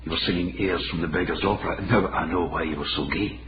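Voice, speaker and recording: Very low, sardonic Scottish male investigator actor in role for period radio drama; dull, very noisy recording. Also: steady tone, fast, natural voice. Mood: accusing, dry. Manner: dry, sardonic, authoritative. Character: dry, shrewd, commanding 18th-century Scottish male investigator.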